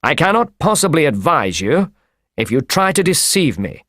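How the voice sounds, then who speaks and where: A man voicing a shrewd, blunt male detective in an audiobook, stern, measured and coolly commanding. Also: on the high side, big pitch swings, a bit bright, no room sound, clean studio sound, pitched above the performer's own voice. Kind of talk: audiobook character voice.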